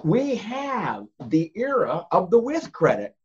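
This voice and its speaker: Lively, husky older American man. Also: high-pitched, takes it slowly, muffled recording. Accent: Southern American. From United States. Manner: animated storyteller, laughs often. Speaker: podcast guest, author. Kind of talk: casual interview talk.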